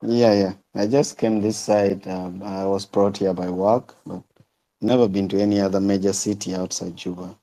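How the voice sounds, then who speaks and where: A man from South Sudan, a guest on a casual podcast call, speaking haltingly and repeating words as he starts. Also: low-pitched, level tone, dark tone, poor audio. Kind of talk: casual chat on a group audio call.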